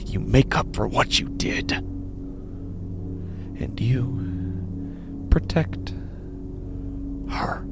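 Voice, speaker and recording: A man playing an anguished, sleepless, guilt-ridden father in a horror audio drama, halting and breathy, swinging between hushed and intense delivery. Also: medium pitch, animated, over loud music. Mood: grave, guilty. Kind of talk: dramatic horror audio narration in first person.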